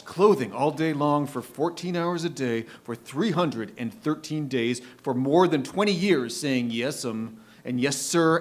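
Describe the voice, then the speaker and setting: A man playing a bitter, worn-out, grey-haired store clerk in a dramatic poetry monologue, halting and weary. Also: a little high.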